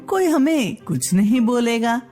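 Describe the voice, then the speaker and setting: Casting: woman as older scheming mother-in-law for comic storybook, husky, headstrong, wheedling, unhurried. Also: on the high side, big pitch swings, dark tone, faint music behind. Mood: gleeful.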